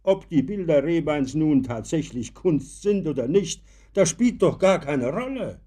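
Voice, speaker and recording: An actor playing an opinionated old professor in a German radio drama, emphatic, ironic and given to philosophizing. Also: male voice, on the high side, expressive, unhurried, dark tone. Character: opinionated old professor defending imagination over sober reason.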